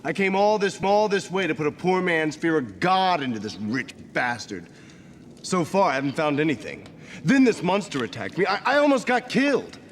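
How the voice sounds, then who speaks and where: An actor playing a shady, wisecracking adventurer in a game cutscene, chatty and sarcastic. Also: male voice, fairly high pitch, big pitch swings, moderate pace, slight background noise. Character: wisecracking shady male adventurer, middle-aged. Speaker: game character.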